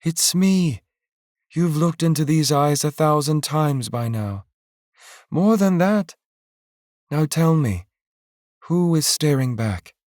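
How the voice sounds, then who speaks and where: Man voicing steady motherly villager, pitched up, soothing and earnest, dry close read. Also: slow pace, long pauses, dry recording. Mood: pleading. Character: calm motherly village woman, steady and caring.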